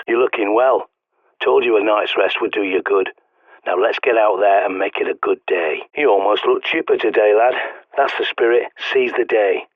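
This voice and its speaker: A man playing a gruff British ex-soldier survivalist on the radio. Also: mid-range voice, no echo, natural voice. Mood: fatherly. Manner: blunt, dry, fatherly, barks orders when urgent. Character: gruff older male ex-soldier survivalist mentor. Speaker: survival guide over radio. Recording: tinny radio-filtered line, dry.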